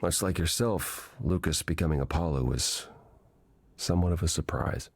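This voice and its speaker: An actor playing a gentle, melancholy god in a video game, speaking in a measured, formal and earnest way. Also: male voice, rumbling low, gravelly, slightly rough, no room sound, exaggerated voice. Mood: wistful. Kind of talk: video game dialogue acting.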